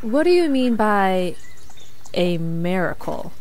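A woman's voice: mid-range voice, big pitch swings, slow, darkish tone. A woman playing an upbeat, wry young woman in an audio drama. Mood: wry, curious.

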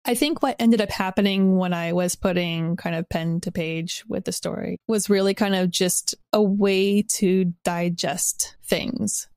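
A woman's voice: mid-range voice, fairly even intonation, a little slow, hardly any pauses, a little mellow, no echo, studio quality. A woman speaking as a podcast guest in casual interview conversation, reflective and rambling, with a self-deprecating way of talking. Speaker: podcast guest, audio drama creator.

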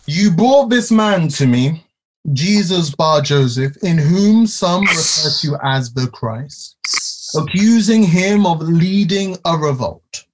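An actor playing a stern, troubled Roman governor in an audio play, speaking formally and deliberately in a declamatory way. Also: male voice, fairly high pitch, animated, slight background noise, poor audio. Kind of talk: dramatic audio play acting.

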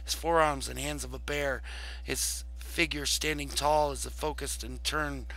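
A man narrating a text roleplay aloud as host, in a halting read-aloud delivery with casual asides. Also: medium pitch, steady tone, pushed and bright, low-quality recording. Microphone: voice chat over computer mic.

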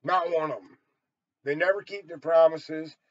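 An American man in his sixties talks in a somewhat high voice, rambling bluntly and emphatically with plenty of swearing. The sound is dry and a bit dull, like a webcam in a small room. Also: fairly even intonation, normal speed, no room sound. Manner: blunt, heavily profane, emphatic. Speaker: storyteller and vlogger. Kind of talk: rambling opinionated monologue to camera.

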